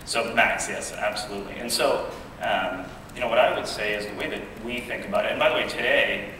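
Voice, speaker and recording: A man explaining his points as a software executive on a conference panel, restating them in a measured way. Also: on the low side. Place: conference panel stage in a large hall. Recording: echoey hall, distant mic, loud room noise.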